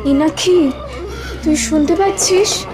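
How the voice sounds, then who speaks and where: A woman's voice: very high-pitched, a little slow, music in the background. An actress playing a frightened young woman traveller in a horror audio drama, anxious and pleading. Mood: anxious searching.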